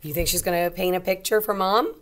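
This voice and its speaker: Low-pitched woman teacher reading to children. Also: a little fast, slight background noise. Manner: simple explanations with questions to young children. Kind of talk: children's read-aloud lesson.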